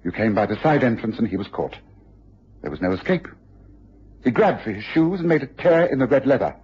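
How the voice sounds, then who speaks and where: A keen detective in a radio drama, with a very expressive, slightly rough voice. He is deliberate, precise and in command. The recording is dull, like a radio broadcast. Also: medium pitch. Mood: assured, deductive. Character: keen, composed male English detective, probing and authoritative.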